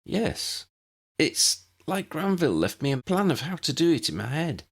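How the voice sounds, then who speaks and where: A man's voice: fairly high pitch, very animated, normal speed, vocal fry, voice pushed up, full character voice. A man voicing a confident, cheeky young witch in an audiobook story, with pert, informal phrasing.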